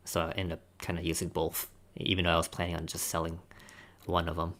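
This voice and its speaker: A man's voice: low-pitched, monotone, fairly quick, fairly bright tone. A man on a podcast panel, casually recounting his own experience in conversation. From United States. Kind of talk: casual personal storytelling in a discussion.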